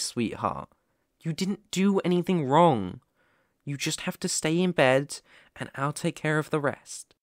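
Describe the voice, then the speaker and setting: A man's voice: fairly high pitch, dry recording, studio quality. A man playing a caring, worried boyfriend in an ASMR roleplay, talking in a soothing, tender, reassuring way. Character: caring, worried boyfriend fussing tenderly over sick partner.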